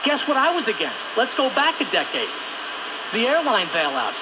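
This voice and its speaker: High voiced guy, impassioned radio commentary, stammers, tinny with static. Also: huge pitch swings, normal speed. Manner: emphatic, stammering, self-questioning.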